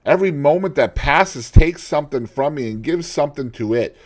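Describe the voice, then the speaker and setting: A man reading aloud and voicing an anguished, vain young man, impassioned and pleading. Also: animated. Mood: despairing. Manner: impassioned, pleading, high and drawn-out.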